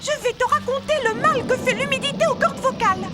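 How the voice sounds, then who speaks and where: Cartoon singing bird, extremely high voice, pompous, dramatic, long-winded, loud music underneath. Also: female voice, fairly quick. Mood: indignant. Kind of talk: French cartoon dub acting.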